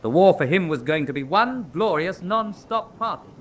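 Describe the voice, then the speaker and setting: An actor playing a formal naval captain prosecuting a case, precise and oratorical, with open disapproval. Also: male voice, high voice, lively intonation, normal speed, noisy background. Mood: scornful. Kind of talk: dramatic radio acting.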